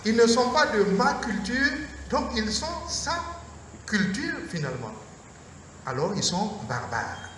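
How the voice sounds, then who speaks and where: Man priest, high voice, slow measured sermon phrasing, heard from afar in a reverberant hall. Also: big pitch swings, sharp tone. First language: Mooré. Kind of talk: Lenten lecture sermon. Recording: echoey hall from far off, faint noise.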